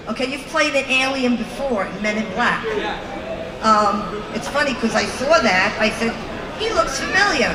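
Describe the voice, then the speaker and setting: A fan from New York asking a panel question in a chatty, excited way. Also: high-pitched. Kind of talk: audience Q&A. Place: large convention hall. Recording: echoey hall, distant mic, crowd.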